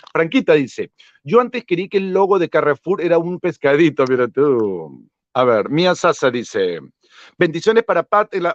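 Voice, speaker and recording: A man's voice: a little high, dry recording, highs cut off. A Peruvian man speaking English with a Peruvian Spanish accent, casually chatting and reading out viewer comments on a livestream. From Peru. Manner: chatty, laughs often, reads out viewer comments. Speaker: vlogger hosting a livestream.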